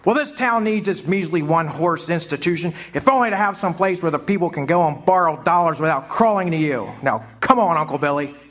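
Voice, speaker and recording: A man's voice: fairly high pitch, animated, brisk, few pauses, a bit bright, a little hiss or hum. An actor playing an eager, talkative young man full of big plans in a live radio play. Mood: defiant. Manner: eager, rambling, boyish.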